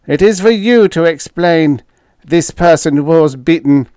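A man's voice: a little high, animated, a little slow, dark tone, clear, resonant tone, no room sound, studio quality, voice pushed up. A man voicing a frail, evasive alien official in an audiobook, with a weak, trembling voice and formal, protesting diplomatic speech. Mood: indignant. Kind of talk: audiobook character dialogue.

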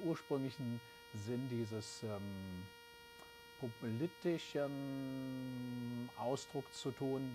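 A man's voice: mid-range voice, poor audio. A man in his fifties presenting a web show informally to camera as its host. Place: small bright indoor office. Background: music.

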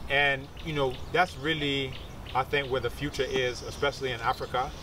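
A man's voice: medium pitch, level tone, normal speed, recorded from a distance. A man in his thirties talking informally as a teacher in an interview, explaining things with asides. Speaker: researcher and martial arts teacher. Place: outdoors among trees and bushes. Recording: outdoor recording with insects and traffic noise.

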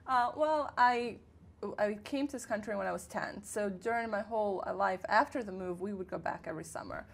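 A woman in her thirties who grew up in Italy talks about her work in a relaxed, chatty way, with lots of ums and uhs. Her voice sits in a middle range with a gravelly, fry-tinged texture. She speaks into a lapel mic on a small indoor studio set. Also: mid-range voice, brisk. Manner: warm, chatty, frequent fillers. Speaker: guest, tour business owner. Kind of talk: casual interview talk.

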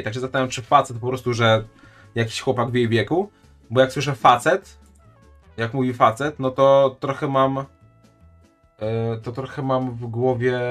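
A man's voice: medium pitch, fairly bright tone. A man live-streaming his reaction to a video, chatting and joking with his viewers. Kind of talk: casual live-stream commentary.

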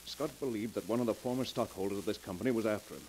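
A man's voice: on the low side, rapid-fire, a little mellow, close to an everyday voice. An actor playing an anxious, commanding wealthy businessman, brusque and emphatic in 1940s radio drama style. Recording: hissy crackling 1940s radio recording.

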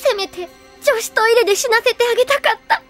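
A woman playing an excitable, dramatic high school girl in an anime audio drama, exclaiming and pleading. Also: fast talker, soft background music. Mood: distressed.

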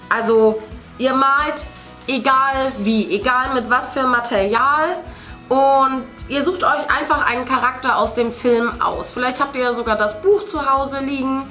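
A woman in her thirties with a fairly high voice talks casually to children watching her video. She explains things directly to them as a family channel host. The room adds clear echo, with some music behind her. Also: bright, forceful tone. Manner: speaks to children, addressing viewers directly. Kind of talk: casual chat, explaining to viewers while painting. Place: indoor room at a table. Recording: roomy sound, faint music.

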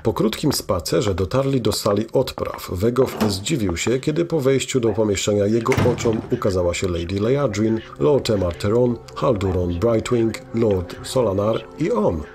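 A man narrating a scripted fantasy audio drama in a measured, dramatic storytelling manner. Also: lowish voice, lively intonation, noisy background.

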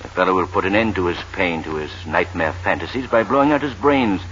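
A man's voice: sits low, quick pace, fairly bright tone. An actor playing an authoritative, coldly clinical older man, a sanatorium director, in 1940s radio style. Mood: grave. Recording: dull, hissy 1940s radio broadcast.

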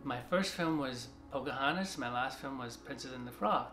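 A man in his forties from China, talking in a soft, halting way with many restarts during a seated interview in an art studio. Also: medium pitch. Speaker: artist. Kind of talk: reflective interview talk. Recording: roomy studio sound with music behind.

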